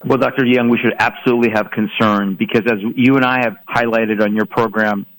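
A man's voice: medium pitch, steady tone, fairly quick, a bit bright, low-quality recording. A man in his forties from Iran, answering questions as a guest in a radio interview. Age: 40s.

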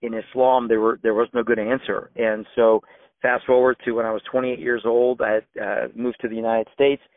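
A man in his fifties, originally from Iran, tells his story in a steady, reflective manner. His voice is dry and close, and it comes through a thin, tinny phone line. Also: medium pitch, fairly quick, no room sound. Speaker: guest. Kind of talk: personal testimony.

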